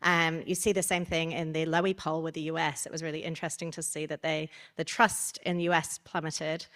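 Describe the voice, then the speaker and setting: A woman's voice: low voice, a bit bright. A woman speaking off the cuff as a researcher chairing questions at a conference. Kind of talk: spontaneous panel answer.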